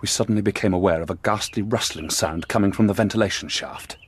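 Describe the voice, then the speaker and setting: A man's voice: on the low side, fast, husky. A man acting a shrewd, self-assured detective in an audio drama, telling his explanations with theatrical relish. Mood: suspenseful.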